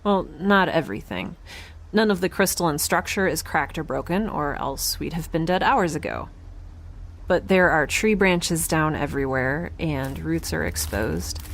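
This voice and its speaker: A woman playing an exhausted, injured but determined young scientist in an audio drama, speaking in a halting, wry way that trails off mid-thought. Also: low voice, a little hiss or hum. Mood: tired, wry. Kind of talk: audio drama log monologue and dialogue.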